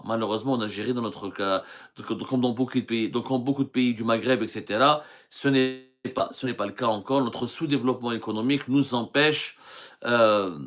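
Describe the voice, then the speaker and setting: Earnest Algerian journalist, halting delivery. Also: male voice, medium pitch, a bit bright. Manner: earnest, talks with many hesitations and restarts. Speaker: journalist hosting a live stream. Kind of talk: live stream answering viewer questions.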